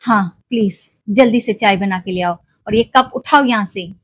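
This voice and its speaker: A woman's voice: medium pitch, normal speed, noisy background, lightly acted. A woman voicing a bossy, suspicious working madam of the house in a toy cartoon, in a commanding manner. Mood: angry, demanding.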